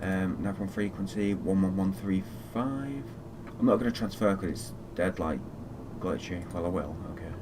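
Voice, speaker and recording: Male game streamer, deep voice, low-key casual commentary, muttered procedures, noticeably noisy background. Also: normal speed, few pauses. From Fiji. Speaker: flight simulator live streamer. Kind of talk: casual live gaming commentary.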